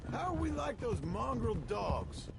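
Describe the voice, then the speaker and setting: An actor playing a proud, hot-tempered young American outlaw in a video game, gravelly and combative. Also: male voice, high-pitched, sing-song, measured pace, full character voice. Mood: indignant. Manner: defiant, combative. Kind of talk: video game drama acting. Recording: loud music and horse hooves behind.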